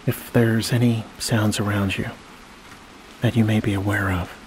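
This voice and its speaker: Low, even-toned man guiding sleep hypnosis. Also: low-quality recording. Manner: slow, soothing, long pauses between phrases. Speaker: hypnosis guide. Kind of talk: guided sleep meditation. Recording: steady rain-like noise behind voice.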